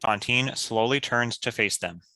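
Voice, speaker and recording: Bright, dry-sounding male script narrator. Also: mid-range voice, measured pace, no echo. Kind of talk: scripted narration of screenplay action.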